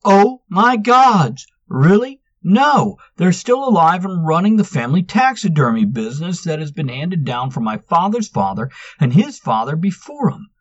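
A man's voice: lowish voice, measured pace, a little mellow, dry recording, lo-fi sound. A man voicing the exasperated young Manx. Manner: exasperated, insistent.

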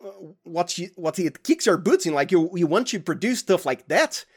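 A man's voice: high voice, sing-song, normal speed, slightly rough. Hesitant Brazilian man chatting. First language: Portuguese. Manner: hesitant, stop-start phrasing, giggly. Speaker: podcast guest, sound designer. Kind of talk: casual interview chat.

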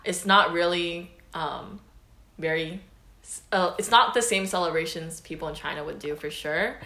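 A teenage girl from the US, co-hosting a podcast in a relaxed, chatty way with lots of "like" and "um". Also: fairly low pitch, moderate pace, echoey room. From United States. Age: teens. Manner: relaxed, chatty, many fillers like "like" and "um". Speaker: podcast host. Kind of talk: casual chat.

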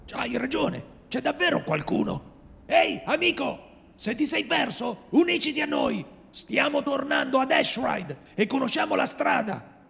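A man's voice: very high-pitched, big pitch swings, measured pace, vocal fry, a bit bright, exaggerated voice. A man playing a woodcutter protecting his wounded brother in a radio drama. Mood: calling out. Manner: urgent, protective. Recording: long hall reverb.

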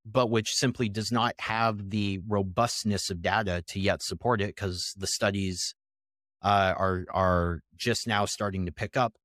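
Low-pitched man explaining research. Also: steady tone, measured pace, fairly bright tone, dry recording, clean studio sound. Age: 30s. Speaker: podcast guest, health researcher.

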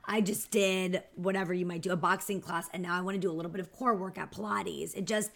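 A woman in her thirties with a raspy, lowish voice chats as a podcast guest. She grew up in Northern Virginia, and she talks about fitness in an upbeat, motivational way. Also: steady tone, fast, fairly bright tone. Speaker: guest, fitness business founder. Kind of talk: casual interview chat.

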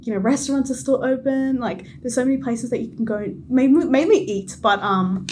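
A woman's voice: sits high, fairly quick, darkish tone, slight background noise. A young woman with an Australian accent, a podcast guest who giggles, apologizes and uses lots of fillers. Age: young adult. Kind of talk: casual interview chat.

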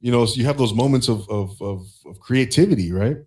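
A man's voice: mid-range voice, a little fast, darkish tone. A man hosting a casual interview in a laid-back, encouraging way, often circling back over his words. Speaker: interviewer, host.

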